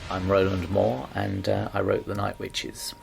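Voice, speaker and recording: A man's voice: low voice, flat delivery, a little slow, creaky. A man speaking as a writer in an informal behind-the-scenes interview. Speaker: scriptwriter.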